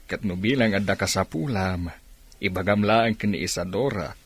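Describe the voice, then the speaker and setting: An actor playing a kindly older gentleman in an Ilocano radio drama, speaking with an Ilocano accent. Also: low voice, moderate pace, slightly rough. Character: kindly older gentleman taking in a needy young woman.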